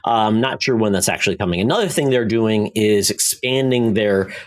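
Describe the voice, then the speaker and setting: A man's voice: on the low side, animated, normal speed. A man hosting a podcast, explaining news in a rambling, conversational way. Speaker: podcast host presenting entertainment news. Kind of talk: casual news chat.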